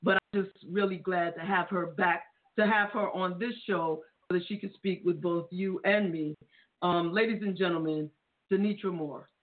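A woman hosting a casual radio talk show, gushing and excitable as she introduces and praises her guest. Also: on the low side, moderate pace. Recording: tinny phone-like audio.

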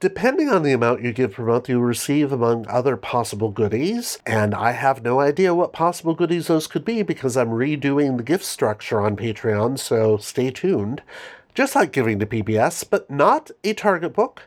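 A man hosting a casual podcast with a stuffed-up, congested voice. His pitch sits in the middle range. He talks with dry, self-mocking humor. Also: very animated, normal speed. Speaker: podcast host.

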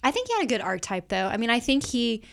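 A woman's voice: a little high, big pitch swings, fast talker, vocal fry. Woman in casual, conversational guest chat. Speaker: guest co-host. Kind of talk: casual movie discussion.

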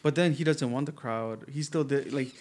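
A man co-hosting a casual podcast, relaxed, recapping events from his notes and laughing easily. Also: medium pitch, moderate pace. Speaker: podcast co-host. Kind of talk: casual wrestling chat.

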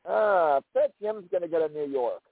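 A man co-hosting a podcast, reading listener names in a slow, drawn-out, casual way. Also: fairly high pitch, animated, no room sound. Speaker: podcast co-host. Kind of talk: casual chat. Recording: dry, tinny phone-like audio.

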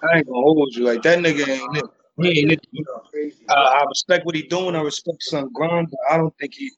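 A man with a raspy voice, a guest in a casual chat, blunt and profane with strong opinions. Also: on the high side, fairly even intonation, a little fast, fairly bright tone, muffled recording.

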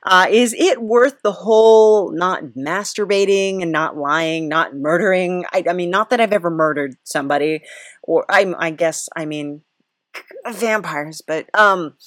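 A woman's voice: fairly low pitch, measured pace, dry recording, clean studio sound. A woman hosting a comic interview in a casual, rambling, hesitant way with a wry touch. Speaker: podcast host, interviewer.

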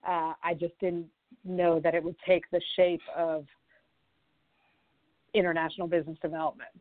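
A woman's voice: fairly low pitch, level tone, moderate pace, no room sound, phone-quality sound. A woman whose first language is French, talking through her career in a chatty interview with many fillers and easy laughs. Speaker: podcast guest, fashion founder. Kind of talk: conversational interview.

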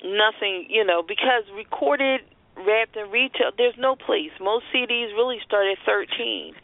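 A woman co-host talks through advice in a firm, explanatory, conversational way. Her voice sits at an average pitch and arrives dry and narrow, as if over a telephone. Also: measured pace, creaky, no room sound. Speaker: co-host of a spoken word business show. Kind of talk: conversational advice on a call-in show. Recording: thin telephone-line sound.

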